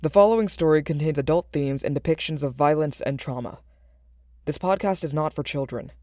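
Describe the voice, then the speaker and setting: Higher-pitched man reading a dry announcement. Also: brisk, darkish tone, dry recording. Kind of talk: scripted content warning.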